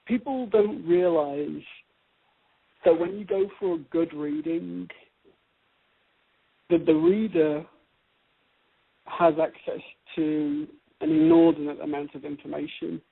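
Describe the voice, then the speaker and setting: A man speaking as an astrological reader in a conversational debate, choosing words in a measured, halting, deliberate way. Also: on the high side, steady tone, vocal fry, breathy edge, dry recording. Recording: tinny, band-limited radio or phone-line audio.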